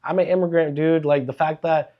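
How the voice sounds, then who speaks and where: A man's voice: on the high side, steady tone, brisk, muffled recording. American male podcast guest, conversational, informal, bro-and-dude slang. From born in Karachi, Pakistan, grew up in South Florida. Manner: slangy, says man, bro and dude often. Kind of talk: casual conversation.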